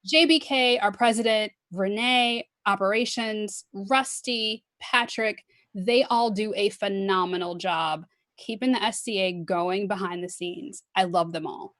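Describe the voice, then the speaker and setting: A woman's voice: medium pitch, a little slow, a bit bright, no room sound. A woman guest in a casual chat, gushing and chatty.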